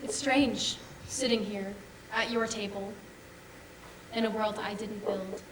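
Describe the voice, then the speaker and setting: A woman's voice: mid-range voice, fairly even intonation, takes it slowly, distant mic, a little hiss or hum, natural voice. A woman on stage playing a wary, skeptical geneticist, speaking in a guarded, hesitant way. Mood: wary, subdued. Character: wary, skeptical pioneering geneticist woken from cryo-sleep. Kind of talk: staged musical reading, stage acting. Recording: echoey theatre stage.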